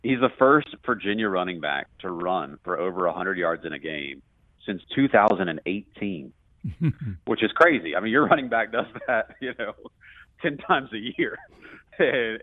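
Low voiced guy chatting football as a radio guest, very dry muffled audio. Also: lively intonation, normal speed, no echo. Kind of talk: conversational sports analysis.

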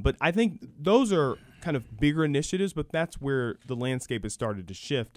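A man's voice: mid-range voice, darkish tone, no echo. Man explaining as radio guest, dry sound. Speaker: guest, university program director. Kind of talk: radio sports talk interview, explanatory.

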